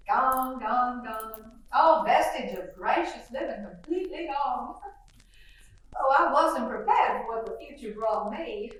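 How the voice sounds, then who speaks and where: A woman's voice: on the high side, expressive, slow, fairly bright tone, slight background noise. An actress playing a fussy, middle-aged faded belle mother, who chatters on in a gushing, rambling way. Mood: wistful. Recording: echoey roomy sound from far off.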